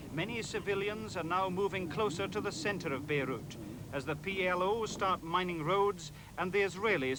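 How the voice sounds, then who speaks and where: High-voiced male news correspondent, noisy recording. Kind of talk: scripted report narration.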